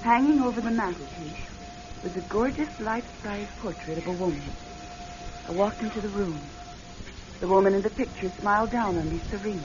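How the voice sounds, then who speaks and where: A woman's voice: mid-range voice, a little fast, close to an everyday voice. An actress playing an anxious, loving, practical woman in a radio drama, telling her story and speaking in an earnest, dramatic way. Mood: hushed, suspenseful. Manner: hushed, dramatic storytelling, earnest pleading in dialogue. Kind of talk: radio drama acting and first-person suspense narration. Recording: dull lo-fi radio recording, music behind. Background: music.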